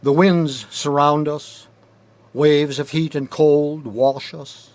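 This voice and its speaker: A man with a slightly high-set voice performs spoken-word poetry in a studio. He takes his time, reading very slowly and deliberately. The phrasing is rhythmic and dramatic, with measured pauses between short lines. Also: steady tone, a little mellow. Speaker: poet reading own work. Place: recording studio.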